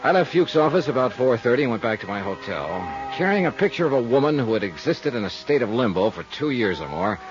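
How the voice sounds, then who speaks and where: An actor playing a wry, hard-boiled insurance investigator, narrating and talking in a clipped, dry way in a 1950s radio drama. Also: male voice, mid-range voice, big pitch swings, fast, few pauses, highs cut off, natural voice. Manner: clipped, terse, dry delivery. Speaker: detective narrator and lead character. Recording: vintage 1950s radio recording with music.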